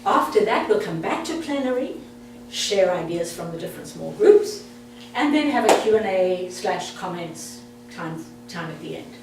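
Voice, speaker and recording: A woman's voice: medium pitch, expressive, moderate pace. South African woman presenter, friendly and chatty with the crowd, in a roomy hall well back from the mic. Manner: friendly, direct, chatty with the audience. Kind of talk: interactive talk to an audience. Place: meeting room. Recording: echoey room, far from the mic, faint hum.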